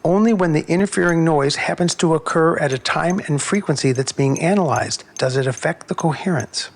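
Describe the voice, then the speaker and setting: A man reads a scripted technical explanation with careful, exact wording. His voice sits a touch higher than most men's, and he keeps an even, instructive delivery throughout. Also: vocal fry. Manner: measured, precise explanatory delivery. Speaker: narrator of a technical audio video.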